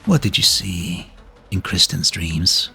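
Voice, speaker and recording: An actor playing an ancient, weary, wry male being in a fan-dubbed game drama, speaking in a slow, reflective, breathy way. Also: low-pitched, lively intonation, pushed and bright, exaggerated voice. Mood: wistful, weary. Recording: background music under the voice.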